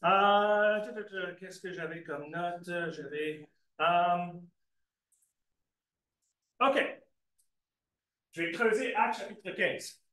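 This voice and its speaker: A man's voice: high voice, drawn-out words, long pauses, bright, forceful tone. A man teaching a church Bible class, asking the class questions and often answering them himself, with a few English asides. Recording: echoey room.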